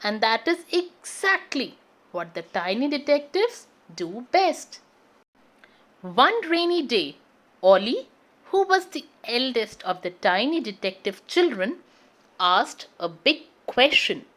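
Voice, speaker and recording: A woman narrates a children's story in a high voice with wide, swooping intonation. She takes her time over each phrase, addressing young listeners expressively. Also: big pitch swings, takes it slowly, fairly bright tone. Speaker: children's read-aloud host.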